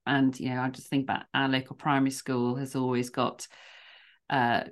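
Woman, podcast host, low voice, encouraging, effusive, conversational. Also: level tone, moderate pace. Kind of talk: conversational interview.

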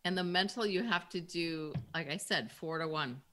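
A woman's voice: fairly low pitch, normal speed, fairly bright tone. An American woman from Wisconsin, talking casually as a guest expert in a podcast interview. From Milwaukee, Wisconsin, US.

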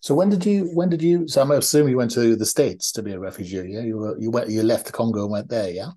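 A man's voice: mid-range voice, quick pace, warm tone. A man hosting a podcast, reading an intro and then interviewing a guest with frequent ums and restarts. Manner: hesitant, many ums and restarts. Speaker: podcast host. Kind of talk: conversational interview.

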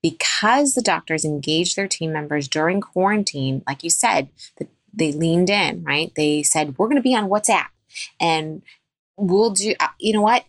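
A woman dentist speaking as a podcast guest, with a somewhat low voice. She talks in an emphatic, advice-giving way. The sound is dry and close, with no room echo. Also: moderate pace. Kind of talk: podcast interview.

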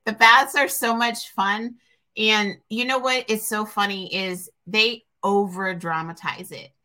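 A woman hosting a podcast interview, talking in an earnest, reflective way, pausing with frequent fillers. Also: mid-range voice, fairly even intonation, pushed and bright. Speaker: podcast host.

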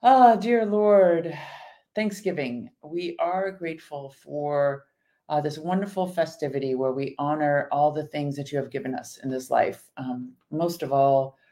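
A woman's voice: low voice, a little slow, warm tone. A woman co-hosting a faith talk show, chatting casually, reading viewer comments and leading an earnest spoken prayer. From Iran. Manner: chatty, reads viewer comments aloud, earnest when praying. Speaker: podcast co-host.

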